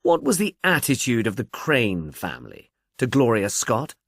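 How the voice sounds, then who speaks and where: A man's voice: mid-range voice, huge pitch swings, moderate pace, vocal fry, a bit bright, slightly rough, no room sound, studio quality, exaggerated voice. A man voicing an urbane amateur detective, courteous, probing and slightly absent-minded, in an audiobook. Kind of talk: audiobook character dialogue.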